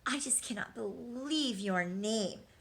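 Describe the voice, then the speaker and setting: A woman's voice: fairly high pitch, lively intonation, takes it slowly. A snooty storybook schoolgirl, played by a woman reading aloud. She speaks in a raised, emphatic voice, smug and pointed, spacing out each put-down. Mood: scornful. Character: snooty, teasing schoolgirl, smug know-it-all.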